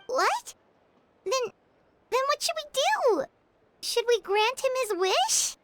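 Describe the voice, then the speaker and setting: An excitable little fairy sidekick from a video game. The voice is extremely high, bright and childlike, bouncing around with blunt, eager reactions. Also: female voice, takes it slowly, a little hiss or hum, full character voice. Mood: alarmed. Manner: childlike, excitable, refers to self by name. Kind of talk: video game character acting.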